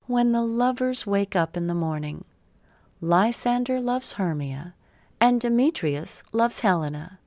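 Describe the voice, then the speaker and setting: Woman telling a kids bedtime story, takes it slow, really dry close sound. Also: medium pitch, animated, a little mellow, dry recording. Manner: measured, simple storytelling delivery. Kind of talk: scripted children's bedtime story narration.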